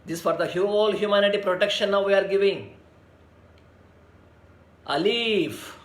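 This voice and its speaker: A man from India guides listeners through a meditation in a slightly raised, gentle voice. He speaks slowly, in soothing repetitions and chanted mantras. The room around him rings with echo. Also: high-pitched. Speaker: meditation leader. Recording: echoey room.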